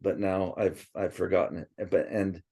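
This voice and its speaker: Gravelly-voiced man reminiscing. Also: low-pitched, fairly even intonation, moderate pace, dark tone. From born in Princeton, raised partly in Tokyo. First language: Swedish. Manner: rambling, many restarts and asides. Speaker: interviewee. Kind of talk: casual reminiscing interview.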